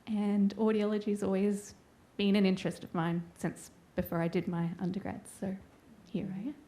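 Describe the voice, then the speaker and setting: A middle-aged woman introducing herself as a mature student in a relaxed, conversational way. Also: medium pitch, moderate pace, a little hiss or hum. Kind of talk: self-introduction.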